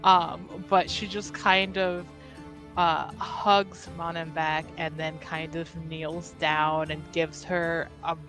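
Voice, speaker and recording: A woman's voice: low voice, level tone, takes it slowly, over music. A young woman player thinking aloud as she describes her character's actions in a tabletop game, hedging often.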